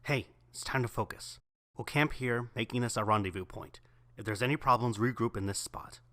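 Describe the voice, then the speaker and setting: A man's voice: medium pitch, fairly quick, pushed and bright, dry recording, lightly acted. Man acting a serious young team leader, dry sound. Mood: firm. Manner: firm, matter-of-fact. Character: serious young squad leader, businesslike and patient. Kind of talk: fan audio drama acting.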